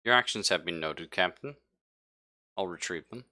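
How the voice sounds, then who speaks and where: A man's voice: low-pitched, moderate pace, sharp tone. A man voicing a dutiful but evasive female android crew member in a tabletop game, speaking formally in clipped, detached phrases.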